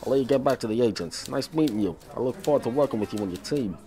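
An actor playing a gruff, world-weary, sardonic police officer in a noir audio drama, speaking curtly. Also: male voice, mid-range voice, expressive, rapid-fire, music in the background, lightly acted. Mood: flustered. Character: gruff cynical shady male police officer.

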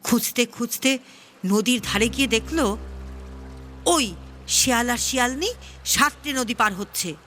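Woman, children's story narration, fairly high voice, expressive, music underneath. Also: a little slow, long pauses. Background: background music.

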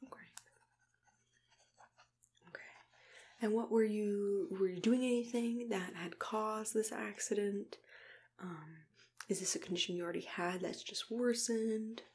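A young woman in an ASMR roleplay as a dentist, speaking in soft whispers in a gentle, unhurried, reassuring manner, close to the microphone. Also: medium pitch. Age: young adult.

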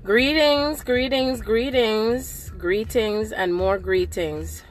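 A woman's voice: on the high side, slow pace, a little hiss or hum. A middle-aged woman talking on an impromptu live video from inside a car at night. She is a little nasal from a cold and talks fervently, repeating affirmations. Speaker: spiritual livestreamer. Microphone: phone held at arm's length.

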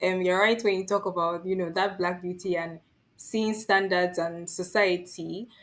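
A woman's voice: on the low side, steady tone, moderate pace, fairly bright tone. A young woman hosting an interview podcast, introducing her guest and asking questions in a friendly, upbeat way, close to a studio mic. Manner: friendly, upbeat, with brief encouraging backchannels. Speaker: podcast host. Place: home room with art on the wall. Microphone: large studio microphone with headphones.

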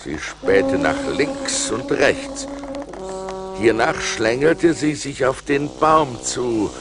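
An actor playing a shipwrecked sailor who recounts his perils in a husky, theatrical, suspenseful way, in an audio drama from a vinyl record. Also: medium pitch, sing-song. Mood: fearful. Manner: dramatic, suspenseful delivery with deliberate pauses. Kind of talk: theatrical radio-play storytelling narration.

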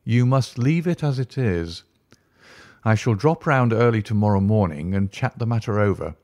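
A man voicing a calm, incisive male detective who speaks in a measured, commanding way. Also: lowish voice, no room sound, clean studio sound.

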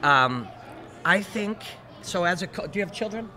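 A man's voice: high voice, expressive, moderate pace, pushed and bright. A middle-aged man hosting a casual interview, engaged and often summing up what his guest says. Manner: engaged, frequent backchannels, sums up guest's points. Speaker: podcast host. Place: hotel room, indoors. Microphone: desk mic on stand.

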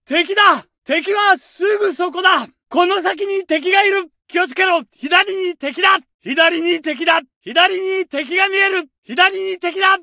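Young infantry soldier: high, slow, drawn-out shouted commands, dry booth. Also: male voice, vocal fry, no echo, heavily acted. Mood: alert. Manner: shouted, urgent military barks. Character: young male soldier barking battle orders. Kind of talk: game combat voice lines.

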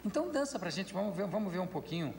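An older man hosting a late-night talk show in a large studio, asking quick questions and teasing his guest. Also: high voice, very animated, creaky, darkish tone. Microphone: desk microphone. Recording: studio hall reverb, faint noise.

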